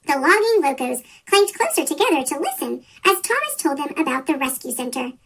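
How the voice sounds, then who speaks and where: A children's story reader with a pitch-shifted chipmunk voice, reading a picture book aloud from a script. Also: very animated, a little fast, creaky, a bit bright. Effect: sped-up chipmunk pitch shift.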